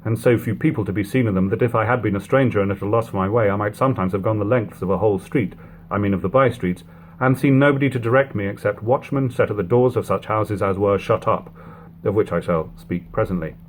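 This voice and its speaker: A man reading an old literary book aloud in a measured, phrase-by-phrase narration, on a lo-fi home recording. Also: on the low side. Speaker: audiobook reader. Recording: lo-fi home recording, background hum, noise.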